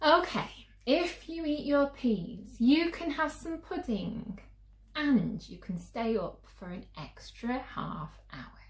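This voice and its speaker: A woman's voice: high voice, sing-song, takes it slowly, reverberant, voice pushed up, heavily acted. A woman voicing a storybook mum who pleads and coaxes with growing desperation, gushing out her promises. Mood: coaxing. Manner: coaxing, gushing through escalating lists.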